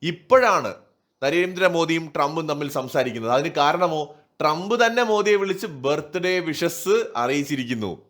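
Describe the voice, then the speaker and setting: A man's voice: on the high side, expressive, moderate pace, fairly bright tone. A man giving opinionated political commentary in an emphatic, rhetorical manner. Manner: emphatic, rhetorical, asking and answering own questions. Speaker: online news commentator.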